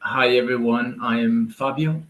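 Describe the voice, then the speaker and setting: A man with an Italian accent speaks slowly and hesitantly, pausing often as he hosts a live online briefing. His voice sits at a middle pitch and comes over a video call from an echoey room. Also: fairly bright tone, slight background noise. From Italy. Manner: hesitant, halting, switching between Spanish and English. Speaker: event organizer hosting a live online meeting. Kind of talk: livestream briefing.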